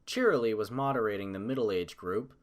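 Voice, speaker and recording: A man's voice: on the low side, a little fast. A man narrating a scripted story as an audiobook reader.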